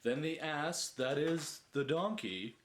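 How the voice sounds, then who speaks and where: A man's voice: on the high side, takes it slowly, slight background noise. A man voicing a tedious male bore who drones through pedantic, digressive anecdotes in a comic verse monologue. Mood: trailing off. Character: tedious, rambling male bore telling endless dull anecdotes.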